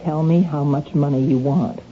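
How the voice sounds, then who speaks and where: An actress playing a guarded, defensive housewife with a guilty secret in a radio drama, curt and indignant. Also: female voice, low-pitched, steady tone, moderate pace, lightly acted. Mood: anxious, guarded. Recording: dull, noisy lo-fi radio recording.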